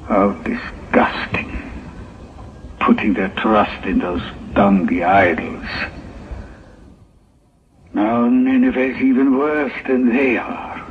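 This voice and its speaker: An actor playing a disdainful, secretive Hebrew prophet who mutters indignantly in an old audio drama. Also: male voice, fairly low pitch. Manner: muttering indignantly to himself, curt with others. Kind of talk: 1960s audio drama acting. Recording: muffled, noisy old phone-like audio-drama tape.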